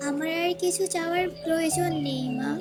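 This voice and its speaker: A woman playing a modest young daughter-in-law in a children's fairy-tale cartoon, softly pleading and yearning. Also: extremely high pitch, fairly even intonation, a little fast. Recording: very loud music over voice.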